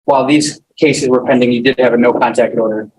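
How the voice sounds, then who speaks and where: A man's voice: mid-range voice, steady tone, brisk, a little mellow, slight background noise, poor audio. Man, prosecutor, questioning, hesitant, filler-heavy. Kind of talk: courtroom questioning. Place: courtroom.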